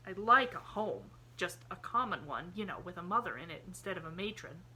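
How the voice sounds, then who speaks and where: A woman reading an audiobook, voicing a proud, blunt ragged orphan boy who talks in rough rural dialect with dropped g's. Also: on the low side, animated, a little fast, pushed and bright, a little hiss or hum, rough recording. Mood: earnest.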